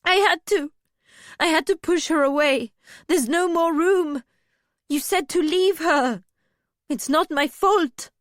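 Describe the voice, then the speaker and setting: A woman's voice: extremely high pitch, steady tone, takes it slowly, hardly any pauses, a bit bright, no echo, clean studio sound, voice pushed up, exaggerated voice. A woman voicing a frantic young woman in an audiobook, pleading breathlessly in panic and defensiveness. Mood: defensive. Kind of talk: audiobook character voice.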